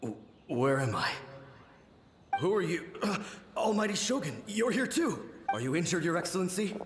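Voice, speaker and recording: A man's voice: high voice, very animated, moderate pace, echoey room, noisy background. A man voicing a loyal, brave samurai leader in a video game, earnest, formal and dutifully emphatic. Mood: startled. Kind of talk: video game cutscene acting.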